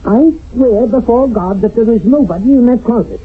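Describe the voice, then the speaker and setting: An actress playing a proud, pleading wife in a radio drama, formal and solemn, with a husky voice. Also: female voice, on the high side, normal speed, phone-quality sound. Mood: solemn, strained. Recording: band-limited old radio recording.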